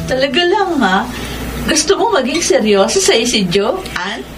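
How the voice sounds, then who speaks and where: A woman's voice: sits high, very animated, normal speed, music in the background. A woman acting a skeptical, knowing young best friend in a Filipino radio drama, probing and doubtful. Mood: skeptical, needling. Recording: distant mic, faint ambient noise.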